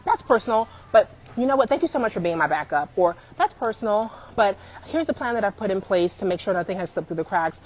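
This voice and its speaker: A woman in her forties giving career advice on a live stream, emphatic and animated, often calling out to viewers and laughing. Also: mid-range voice, fast, few pauses, rough recording. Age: 40s.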